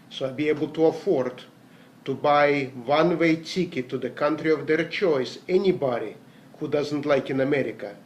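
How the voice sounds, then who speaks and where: A man's voice: mid-range voice, slight background noise. A man, giving an earnest, rambling motivational talk about network marketing success, often correcting himself mid-sentence. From Latvia. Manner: earnest, rambling, self-correcting, non-native grammar. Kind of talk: motivational talk with personal stories.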